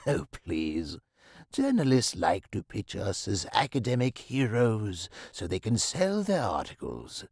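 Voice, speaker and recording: An actor playing a busy, modest academic professor, formal and articulate, somewhat fussy and evasive, in a video game. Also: male voice, on the low side, wildly swooping pitch, vocal fry, darkish tone, breathy edge, no room sound, clean studio sound, exaggerated voice. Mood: modest, dismissive. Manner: formal, articulate, slightly hurried. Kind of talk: scripted point-and-click game dialogue.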